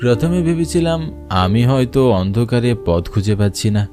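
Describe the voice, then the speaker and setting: A man's voice: medium pitch, animated, close to an everyday voice. A man playing a frightened villager who narrates his own ghost encounter in a dramatic, suspenseful horror-cartoon style. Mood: fearful, suspenseful. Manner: suspenseful, deliberate. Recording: prominent music behind narration.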